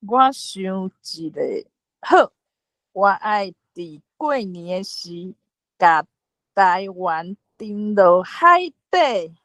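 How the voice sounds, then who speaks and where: A woman playing a haughty, unhurried ruler of heaven in a folk tale, measured and imperious, with a Taiwanese accent. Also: mid-range voice, big pitch swings, fairly bright tone, no echo, full character voice. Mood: decisive.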